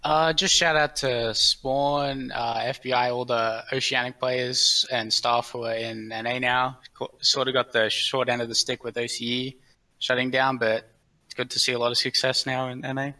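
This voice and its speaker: A male caller with a bright, forward voice and little variation in pitch. He speaks casually and sounds some distance from his microphone. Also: level tone, normal speed. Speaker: caller to a talk show. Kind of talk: casual shout-out.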